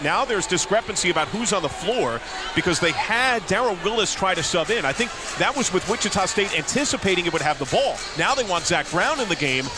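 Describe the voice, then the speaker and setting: A man calling live basketball play-by-play in clipped, descriptive phrases. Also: sits high. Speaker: play-by-play announcer. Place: courtside at a basketball arena.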